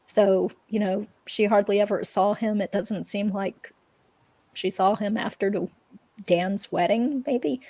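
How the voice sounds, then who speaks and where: A woman's voice: mid-range voice, fairly even intonation, unhurried, warm tone, dry recording. A woman hosting a livestream, chatting informally in a casual commentary style. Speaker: livestream host. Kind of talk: casual chat, true-crime commentary.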